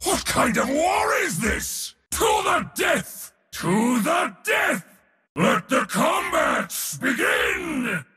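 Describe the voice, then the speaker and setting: A man playing an arrogant, boisterous war god in a video game, speaking in a bombastic, boastful way with a gravelly, raspy voice. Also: very high voice, very animated, drawn-out words, pushed and bright, shouting, long reverb tail, full character voice. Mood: triumphant, taunting. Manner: bombastic, boastful, theatrical delivery. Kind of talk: video game hero voice lines.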